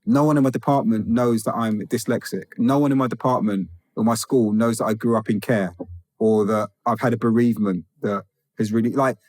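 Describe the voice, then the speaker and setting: A man's voice: mid-range voice, steady tone, brisk, darkish tone, no room sound. A man from England, a teacher and author, speaking reflectively as a podcast guest, often restarting and building emphasis. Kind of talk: conversational interview.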